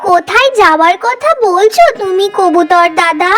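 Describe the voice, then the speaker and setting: An extremely high, expressive lady bird, acted by a woman in a children's cartoon fable. The fussy little character speaks in a storybook manner. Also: normal speed. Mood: worried. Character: female storybook birds, mothers and neighbours, expressive and fussy.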